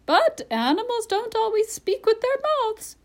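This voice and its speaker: Bossy female storybook parrot, played by a woman: high, swooping, proud and emphatic. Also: big pitch swings, measured pace, heavily acted. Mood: instructive. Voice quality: high-voiced. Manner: emphatic, instructive.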